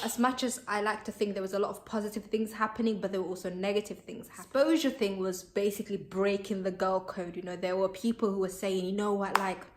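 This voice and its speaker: A bubbly young woman with a lilt talks straight to her camera, chatty and full of "like" and "um". Her is Somali, and she sounds relaxed and personal, as if speaking to her followers. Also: mid-range voice. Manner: bubbly and chatty, many fillers. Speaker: vlogger. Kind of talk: casual chat to camera.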